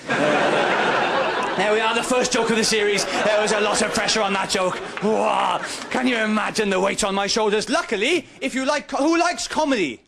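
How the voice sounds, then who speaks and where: A man's voice: high-pitched, normal speed, creaky. A Welsh man hosting a live comedy show, with a rambling, self-deprecating stand-up delivery. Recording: very noisy live stage recording.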